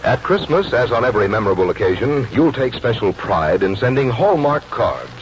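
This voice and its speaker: A man's voice: mid-range voice, big pitch swings, a little fast, noisy background. An American man reading formal, polished announcements and ads as a 1940s radio announcer. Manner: formal, polished sales delivery. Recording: muffled 1940s radio broadcast, music.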